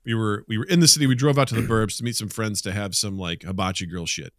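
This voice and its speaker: A man's voice: on the low side, fast talker, fairly bright tone, no echo, clean studio sound. A middle-aged American man co-hosting a podcast, chatting casually and comedically in an exasperated, profane rant. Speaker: podcast co-host.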